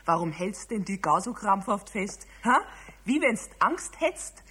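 A woman's voice: mid-range voice, big pitch swings, a little slow, a bit bright, slightly rough, full character voice. An actress playing a chatty, bossy mother with a Bavarian accent, fussing and nagging in a children's radio play.

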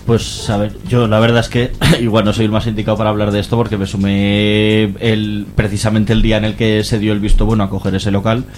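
A man's voice: on the low side, completely flat delivery, brisk, few pauses, a little mellow, a little hiss or hum. A man with an accent from Spain explaining things as an activist guest in a radio interview, in a hesitant, careful way. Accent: Spanish from Spain.

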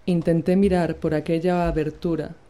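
A woman narrating a dark classic horror story in a measured, grave, deliberate reading. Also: low voice, level tone. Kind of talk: scripted dramatic story reading.

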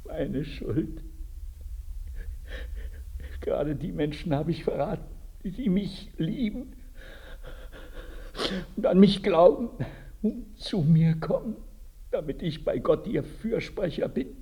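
An actor playing a guilt-ridden village priest in a radio drama, confessing slowly and accusing himself. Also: male voice, sits high, expressive, gravelly, warm tone, husky, heavily acted. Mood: bitter self-reproach. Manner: slow, confessional, rhetorical questioning.

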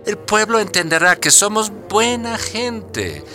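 Smug foreign company boss, wildly animated pitch, slightly high, smooth-talking, English phrases, radio drama. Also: male voice, slow pace, soft background music, full character voice. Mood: smugly reassuring. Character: oily, smugly reassuring foreign mining company manager.

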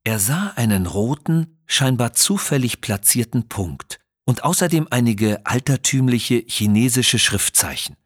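A man narrating a scripted audio adventure story in an expressive, dramatic storytelling manner. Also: medium pitch, a little slow, few pauses, breathy edge, dry recording, studio quality.

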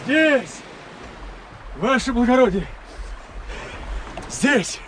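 An actor playing a simple, deferential Cossack orderly in a radio drama, answering briefly and obediently. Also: male voice, very high voice, animated, drawn-out words, a bit bright, slight background noise, exaggerated voice. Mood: eager, obedient. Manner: servile, short replies.

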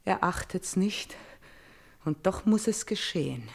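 An actress playing a haunted, vengeful young princess in a theatrical radio tragedy, declaiming intensely between hushed murmurs and outbursts. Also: female voice, on the low side, lively intonation, a little slow, gravelly, heavily acted. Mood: obsessed, vengeful.

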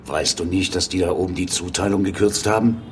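Casting: man playing heavyset jovial cop, fairly deep, coarse buddy-style banter for radio drama. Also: fairly even intonation, brisk, slight background noise, lightly acted. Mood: jovial, chummy. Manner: joking, chummy, coarse. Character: huge jovial, crude police department chief. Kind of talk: German radio drama acting.

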